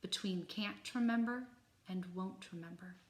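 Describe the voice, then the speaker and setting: A woman voicing a gentle, wise, caring female elephant in a children's storybook, with an encouraging manner. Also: medium pitch, a little slow, close to an everyday voice. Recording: roomy, echoing room sound.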